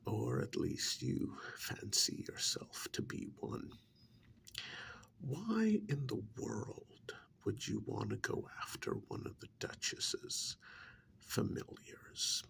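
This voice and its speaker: A man acts out a menacing, smug servant of vampires in an ASMR roleplay. His soft voice is heavily creaky and half whispered. He talks very slowly and deliberately, with condescending, winding intonation that rises and dips. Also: medium pitch, sing-song, full character voice. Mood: condescending, explaining. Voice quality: breathy, gravelly half-whisper. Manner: slow, deliberate, condescending, with pauses. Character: smug, menacing vampire's male agent interrogating a captured hunter.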